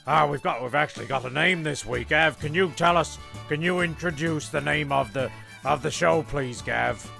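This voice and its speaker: A man with a very creaky, mid-pitched voice hosts over music. He rambles colloquially and mock-seriously, stumbling as he narrates a comedy show. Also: moderate pace, pushed and bright. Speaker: host and narrator.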